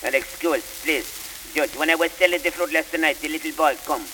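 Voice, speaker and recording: An actor playing an excitable Italian immigrant fruit seller who complains earnestly to the judge in broken English. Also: male voice, on the high side, fairly quick. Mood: complaining. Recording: hissy, echoey old record.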